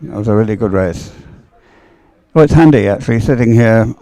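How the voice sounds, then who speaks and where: A man's voice: on the low side, brisk, warm tone, no echo, rough recording. A man working as a live sports co-commentator, talking conversationally in musing, broken phrases. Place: open-air commentary position.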